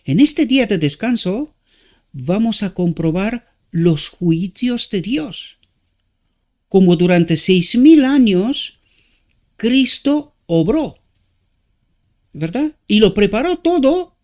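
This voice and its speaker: A man with a Romanian accent preaches in Spanish, his second language. His voice sits fairly high and swings widely in pitch. He halts to search for words and keeps asking his listeners to agree. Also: lively intonation, a little mellow. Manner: halting, searching for words, frequent rhetorical "¿verdad?".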